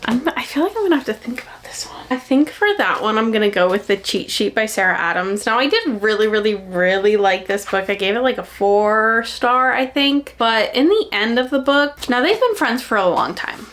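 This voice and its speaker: A young adult woman chatting casually about books, with an animated, gushing delivery and dramatic emphasis. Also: a little high, normal speed, hardly any pauses. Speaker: book reviewer and vlogger. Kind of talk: casual chatty book recommendation vlog.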